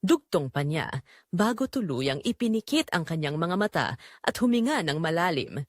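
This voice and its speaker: A woman's voice: fairly low pitch, sing-song, moderate pace, no room sound, studio quality. A woman with a Filipino accent narrating a scripted dramatic story.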